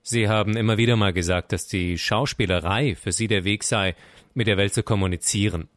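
A man conducting an interview, asking polite, formal, measured questions. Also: on the low side, no echo, clean studio sound.

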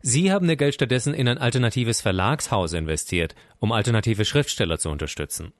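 A man's voice: mid-range voice, animated, no echo. Formal male interviewer, dry close sound. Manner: polite, formal, measured questioning.

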